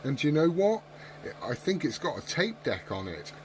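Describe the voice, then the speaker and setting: A man's voice: on the high side, big pitch swings, moderate pace. A man in his forties chatting casually as a CB radio operator and video host. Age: 40s. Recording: clearly noisy CB radio room ambience.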